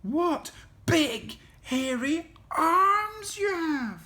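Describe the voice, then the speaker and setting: A narrator as high-pitched, wide-eyed little storybook girl. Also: big pitch swings, very slow, sharp tone, exaggerated voice. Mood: wary wonder. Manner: sing-song, careful, wide-eyed. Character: polite, curious, cautious little girl. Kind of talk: storybook character voice.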